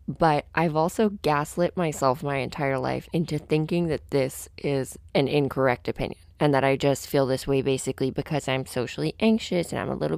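A woman with a low, crackly voice talks softly and in a hush, keeping it quiet to tame the crackle. Her tone is dark and mellow. She records in a small closet padded with pillows, and the sound is close and completely dry. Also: vocal fry, no room sound. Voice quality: naturally crackly voice. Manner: soft, low, hushed delivery kept quiet to limit the crackle. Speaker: podcast host. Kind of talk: reflective solo podcast monologue. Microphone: studio microphone.

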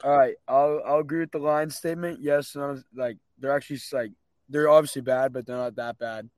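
A man's voice: medium pitch, fairly even intonation, fairly quick, a little mellow, dry recording. A man co-hosting a sports podcast in a casual, slangy conversational way. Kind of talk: casual, slangy football chat.

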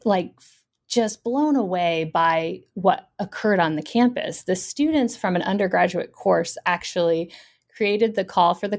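Woman professor with a low voice. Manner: thoughtful, many fillers. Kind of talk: interview answer.

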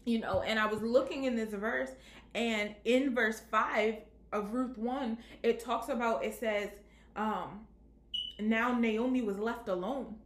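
A woman's voice: a little high, steady tone, slow pace, slight background noise. Woman preaching in an echoey room. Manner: rhetorical questions with repeated "right?". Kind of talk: sermon on singleness.

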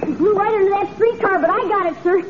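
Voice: very high-pitched, quick pace. A performer playing an eager, polite little Cub Scout boy in 1940s radio comedy, earnest and deferential. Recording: very noisy, tinny vintage radio recording.

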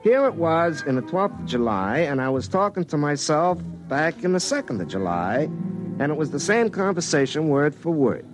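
A cocky telephone lineman from a vintage radio play. His voice sits fairly high for a man and swings freely in pitch. He talks in a folksy, wry, colloquial way, over music at times on an old broadcast recording. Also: very animated, normal speed, poor audio. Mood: insistent, excited. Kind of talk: 1950s radio drama acting with first-person narration.

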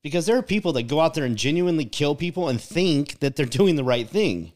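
A man's voice: on the high side, expressive, fairly quick, darkish tone. A man co-hosting a casual podcast conversation, talking in a reflective, emphatic way and leaning on "like" and "right?" to drive his points home.

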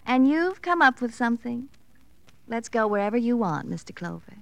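An actress playing a flippant, sardonic nightclub dancer in an old radio crime drama, theatrical and arch. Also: female voice, a little high, very animated, normal speed, vocal fry, fairly bright tone, dry recording, full character voice. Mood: mock-breezy. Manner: theatrical, mock-breezy, arch.

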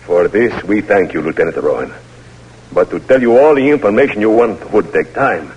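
An actor playing a grave, courteous Cuban general in an old radio drama, speaking measured, formal English with a Cuban Spanish accent. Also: male voice, on the low side, animated, a little mellow, slightly rough. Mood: gracious, calm. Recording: muffled vintage radio recording.

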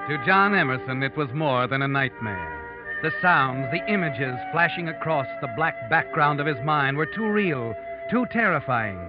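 An actor playing the Whistler's role of an ominous, all-knowing narrator in a 1940s radio suspense show, theatrical and foreboding. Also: male voice, a little high, animated, moderate pace, close to an everyday voice. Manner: theatrical, ominous, addresses the character as you. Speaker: narrator and host of mystery radio drama. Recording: dull old radio recording with music.

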